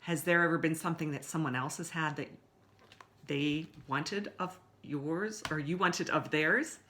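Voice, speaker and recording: A woman's voice: deep voice, unhurried, a little hiss or hum. A woman hosting story time, reading a picture book expressively to young children and addressing them directly. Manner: animated, asks listeners questions. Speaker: library story time host and reader.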